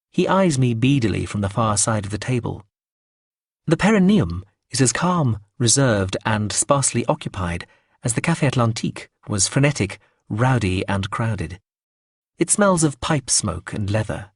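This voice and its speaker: A man narrating a first-person story aloud in a measured, wry way. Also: medium pitch, slightly rough, no echo, studio quality.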